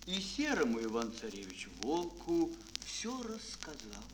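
A man's voice: on the high side, wildly swooping pitch, unhurried, background noise. A man narrating a children's fairy tale in a theatrical, declamatory storytelling style. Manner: dramatic, declamatory. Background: prominent music.

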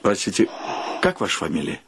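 An actor playing an exuberant, joking gentleman with ornate courtesy and theatrical exclamations in a radio play. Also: deep voice, huge pitch swings, a little fast, breathy edge, exaggerated voice. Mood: friendly, solicitous. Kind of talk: Russian radio play acting.

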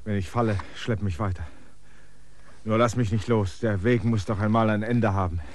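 Erschöpfter landvermesser, von nem mann gespielt, hörspiel, ziemlich tiefe stimme, bittend und hartnäckig. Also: male voice, lowish voice, steady tone, moderate pace, close to an everyday voice. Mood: pleading. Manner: pleading, clinging, insistent. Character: weary stranded male land surveyor, pleading and insistent. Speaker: land surveyor character. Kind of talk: radio play acting.

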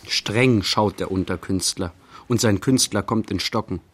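An actor playing the narrator of a literary radio play, telling an artist's day in measured, short phrases. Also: male voice, lowish voice, fairly bright tone, breathy edge. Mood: measured narrating. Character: narrator recounting an artist's day in present tense.

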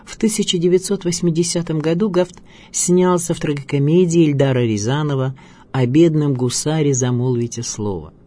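A man narrates a radio biography with the measured expression of a storyteller. His voice is fairly high for a man, warm and somewhat husky, and music plays underneath. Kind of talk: scripted documentary narration with dramatic readings.

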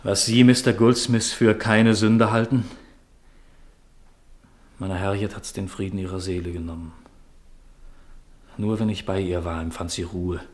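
An actor playing a troubled, guilt-burdened widower in a 1950s radio drama, speaking in a grave, formal, confessional way. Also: male voice, low voice, fairly even intonation, unhurried, pauses often. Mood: burdened, confessing. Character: troubled, guilt-burdened widower confiding his forbidden love.

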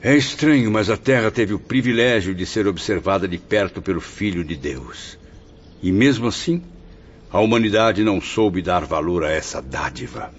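Repentant spirit for radio drama: very deep, wistful, earnest Brazilian, slightly dull noisy recording. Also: male voice, expressive, normal speed. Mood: wondering, regretful. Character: anxious, humble male spirit of a repentant suicide. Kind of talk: scripted radio drama acting. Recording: muffled audio with faint noise.

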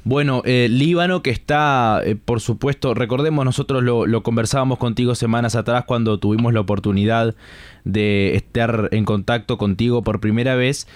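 A man with a Rioplatense Spanish accent hosting a live radio news interview, speaking haltingly as he searches for his words. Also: mid-range voice, dry recording, studio quality.

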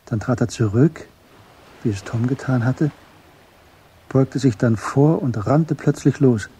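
A man giving scripted literary narration for a radio drama, measured and matter-of-fact, with a husky voice. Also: medium pitch. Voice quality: dark, very husky.

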